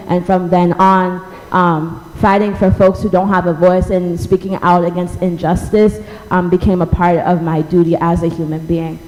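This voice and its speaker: Woman telling her activist story at a panel, warm dark tone, slightly low, hall reverb. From Cambridge, United States, born in Ethiopia. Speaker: community activist, panel speaker. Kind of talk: personal story told to a public audience. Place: indoor public library event hall.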